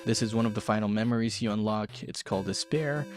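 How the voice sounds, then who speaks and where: A man's voice: lowish voice, fast, a little mellow. Chatty man narrating over background music. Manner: chatty, informal, self-deprecating. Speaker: host of an art tutorial show. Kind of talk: casual narrated software and drawing tutorial.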